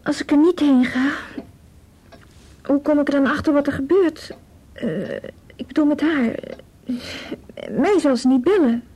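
An anxious young woman, radio play, high soft mellow voice, hesitant, self-doubting. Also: lively intonation, measured pace, long pauses. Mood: troubled, self-doubting. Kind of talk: naturalistic radio drama dialogue.

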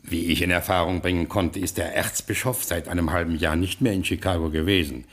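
A pompous master of the house in a radio drama. His voice is very low, and he talks stiffly and ceremoniously, with the hesitations of an uneasy, suspicious husband. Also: fairly even intonation, fairly quick, slightly rough. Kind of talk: German radio play acting.

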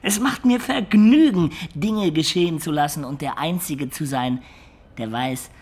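An actor playing a sly, self-satisfied storyteller in a radio play, with measured, literary and wry narration. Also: male voice, a little high, darkish tone. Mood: wry, pleased.

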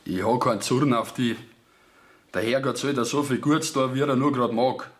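An actor playing a hurt, stubborn young peasant lad in a Bavarian dialect radio play, bitter in tone. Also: male voice, medium pitch, moderate pace, reverberant, close to an everyday voice.

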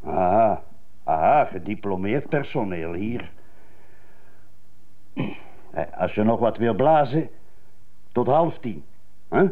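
A man playing a casual prison guard in a radio play, unhurried and chatty. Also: fairly low pitch, expressive. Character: slow-talking male prison guard, casual. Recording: noisy, thin phone-like radio recording.

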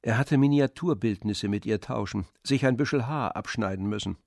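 A man playing the composed, observant narrator of a literary radio drama, with measured delivery. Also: fairly low pitch, creaky, darkish tone, no echo, clean studio sound.

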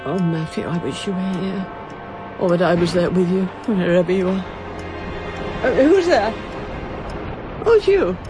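An actress playing a frail, lonely elderly lady in a radio drama, wistful and breathy. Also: lowish voice, lively intonation, unhurried, long pauses. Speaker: Lady Treselyan. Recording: music under the scene.

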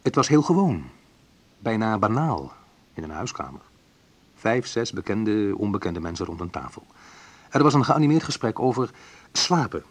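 A slightly husky, lowish male voice narrates a radio play as a man looking back on his youth. He is wistful and reflective, telling it in measured phrases with small pauses. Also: big pitch swings. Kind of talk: literary first-person radio play narration.

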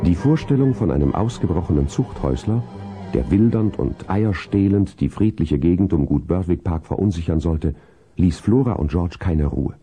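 Narrator, children's radio play: low, very dark tone, lively storytelling, music underneath. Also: male voice, moderate pace. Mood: measured storytelling. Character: calm male narrator of a children's horse story. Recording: 1970s vinyl radio-play recording.

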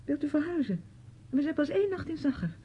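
An actress playing a sensible, exasperated younger niece, speaking tersely and impatiently in radio drama style. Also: female voice, high-pitched, very animated, a little fast, dull audio. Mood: worried. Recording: faintly noisy old radio recording.

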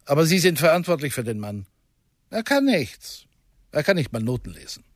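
Grudging orchestra boss, dismissive, higher male voice, animated intonation, dry sound, radio drama. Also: moderate pace, no echo. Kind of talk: radio play dialogue.

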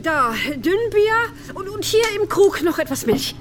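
An actress playing a sharp-tongued peasant wife in a radio play, who scolds her husband and fusses over her guests. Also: very high voice, animated, a little slow, music in the background. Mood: hospitable. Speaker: miller's wife.

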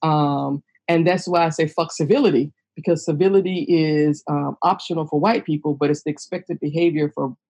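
Podcast host, woman, husky voice, outspoken and emphatic, repeats key lines for weight. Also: sits low, fairly even intonation, normal speed, hardly any pauses, mellow. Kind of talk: candid conversational podcast talk.

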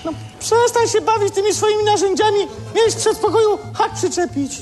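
An actress playing a chattering, bossy, relentlessly upbeat wife who wheedles and pesters in a comic radio drama. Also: female voice, very high-pitched, a little fast, darkish tone, soft background music. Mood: bossy, nagging.

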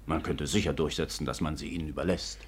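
An actor playing a courteous, businesslike police detective in a 1950s radio drama, speaking formally and matter-of-factly. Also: male voice, extremely low pitch, fairly even intonation, breathy edge. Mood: calm, courteous. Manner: measured, matter-of-fact, formal. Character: courteous, businesslike male Scotland Yard detective.

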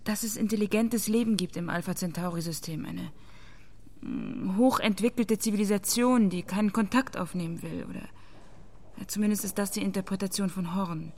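An actress as a sceptical female journalist in a radio drama. She speaks at a medium pitch, measured and matter-of-fact, keeping a cool head as she questions and reports. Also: darkish tone, close to an everyday voice. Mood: subdued, reporting.

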